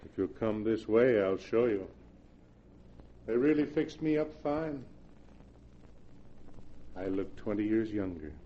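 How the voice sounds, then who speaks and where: An actor playing a murdered husband's ghost in 1940s radio style, measured, mock-polite and calmly reproachful. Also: male voice, fairly low pitch. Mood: calm, macabre. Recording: dull, noisy vintage radio recording.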